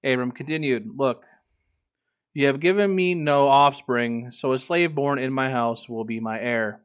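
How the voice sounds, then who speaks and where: Male streamer, average pitch, reading aloud with irreverent, profane asides, lo-fi mic. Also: a little slow, clear, resonant tone. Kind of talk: reading aloud with casual commentary.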